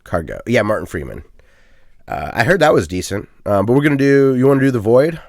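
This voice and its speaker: A man's voice: medium pitch, sing-song, fairly quick, darkish tone, dry recording, clean studio sound. A man co-hosting a casual gaming podcast, rambling and chatty with plenty of ums and uhs. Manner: self-deprecating, chatty. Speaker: podcast co-host.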